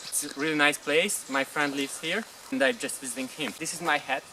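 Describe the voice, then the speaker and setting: A young Hungarian man with a Hungarian accent, chatting in halting learner English for a casual vlog outdoors in the mountains, laughing often. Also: fairly high pitch, fairly even intonation. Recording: distant mic with loud background noise.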